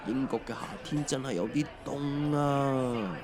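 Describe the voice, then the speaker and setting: A middle-aged man with a Hong Kong Cantonese accent, playing a mild husband who narrates an audio drama in a reflective, unhurried way. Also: medium pitch, crowd noise, natural voice. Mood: casual, teasing. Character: mild, bumbling husband narrating his family's emigration. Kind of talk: scripted first-person narration in an audio drama.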